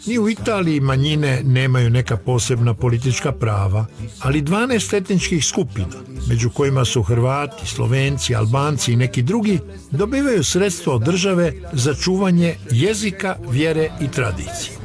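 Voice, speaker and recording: A man's voice: medium pitch, very animated, moderate pace, music in the background. A man narrating a documentary in a scripted, explanatory way.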